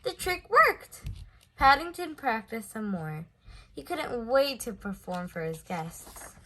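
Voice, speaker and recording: A woman reading a children's story aloud in an expressive way, stressing words for effect. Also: on the high side, slow, low-quality recording. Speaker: storybook reader.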